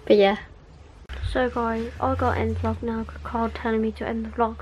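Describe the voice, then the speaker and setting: Young woman vlogging from bed, giggly and rambling, on a handheld camera, slightly high voice, faint hiss behind. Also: flat delivery, moderate pace, a little mellow, highs cut off. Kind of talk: casual chat. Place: bedroom at night.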